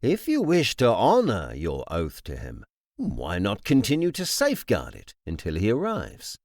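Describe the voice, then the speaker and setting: A man playing a composed, imperious necromancer lord in an audiobook, speaking formally and with measured, polite menace. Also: fairly low pitch, huge pitch swings, no room sound, studio quality, heavily acted. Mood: calm, commanding. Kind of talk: audiobook character acting.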